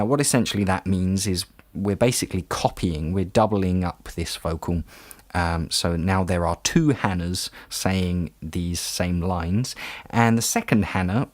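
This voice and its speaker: A man's voice: deep voice, no echo. A man narrating an informal step-by-step tutorial as an audio tutor, in a conversational, explanatory way.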